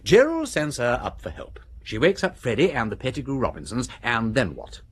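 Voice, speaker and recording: An actor playing a witty aristocratic English amateur detective in a British radio drama, brisk and theatrical as he reasons aloud. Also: mid-range voice, sing-song, slightly rough. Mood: keen, argumentative. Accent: upper-class English.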